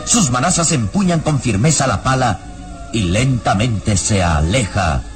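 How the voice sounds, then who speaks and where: A man narrating a scripted radio serial in a grave, solemn, deliberate dramatic style. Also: medium pitch, expressive. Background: dramatic background music.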